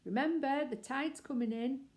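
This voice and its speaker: Kindly worried mother, motherly and cautioning, read by a woman narrator, mellow tone. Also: sits high, moderate pace, pitched above the performer's own voice, natural voice. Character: kindly, worried middle-aged mother at the seaside.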